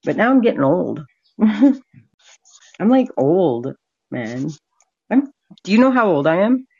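A woman's voice: medium pitch, very animated, takes it slowly, dark tone, husky, muffled recording. A woman of forty from Canada, hosting a stream and chatting candidly with a caller, laughing often. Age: 40s. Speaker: stream host. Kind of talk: casual chat with a caller.

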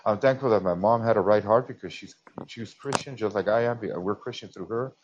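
Low-voiced man on lo-fi call. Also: fairly quick, darkish tone, a little hiss or hum. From United States. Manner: earnest, repetitive, stammering restarts. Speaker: caller. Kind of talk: casual call-in chat. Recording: dull lo-fi call audio.

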